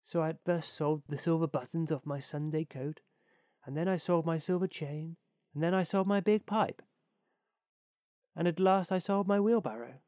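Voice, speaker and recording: A man's voice: fairly high pitch, normal speed, darkish tone, dry recording, studio quality, natural voice. A man voicing a good-natured, trusting little fellow in a storybook, meek and earnest in his manner.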